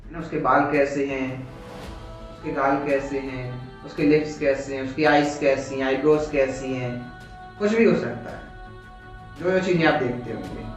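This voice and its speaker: A man with an Indian accent teaching in an explanatory, motivational lecture style, slowing down deliberately on English phrases. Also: mid-range voice. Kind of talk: motivational lecture on communication skills. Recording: very echoey room, music behind.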